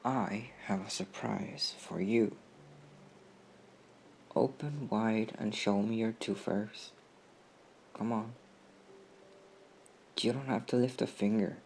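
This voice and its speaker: A man speaking in a gentle, coaxing way, as in an intimate comfort roleplay. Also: lowish voice, vocal fry, a little hiss or hum. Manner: gentle, coaxing, slow and unhurried. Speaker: roleplay audio performer playing a caring comrade.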